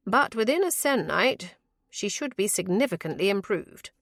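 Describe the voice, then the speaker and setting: A woman's voice: medium pitch, big pitch swings, normal speed, a bit bright, no room sound, studio quality. A woman voicing an earnest, exacting male physician in an audiobook, speaking formally and precisely, with scorn for quackery. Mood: earnest, measured. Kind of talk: audiobook character voice.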